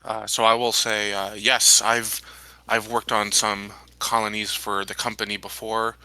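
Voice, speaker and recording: A man playing a tabletop role-playing game, improvising his part in a measured, hesitant way. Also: fairly low pitch, little pitch movement, sharp tone, smooth, dry recording. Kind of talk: improvised role-play and casual table talk.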